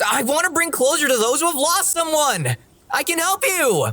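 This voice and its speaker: Nerdy young dreamer boy, played by a woman, pleading and idealistic, swinging widely in pitch, audio drama. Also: big pitch swings, moderate pace, fairly bright tone, full character voice. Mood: pleading, earnest. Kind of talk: scripted audio drama acting.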